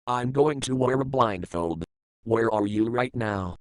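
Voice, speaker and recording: A man's voice: medium pitch, measured pace, clear, resonant tone, no room sound, studio quality, close to an everyday voice. A man playing a blunt facility worker and husband in an amateur audio drama. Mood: urgent, concerned. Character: male containment facility staffer, blunt, worried husband.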